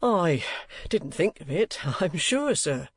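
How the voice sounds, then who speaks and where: A man's voice: fairly high pitch, big pitch swings, slow, husky, pitched above the performer's own voice, exaggerated voice. A man doing a meek, apologetic husband. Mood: humble. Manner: humble and placating. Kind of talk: audiobook character voice.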